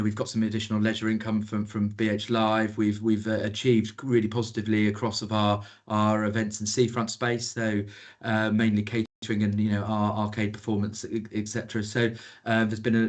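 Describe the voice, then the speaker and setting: A man presenting a council budget update at a committee meeting, with many fillers and restarts. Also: lowish voice. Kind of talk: formal meeting report.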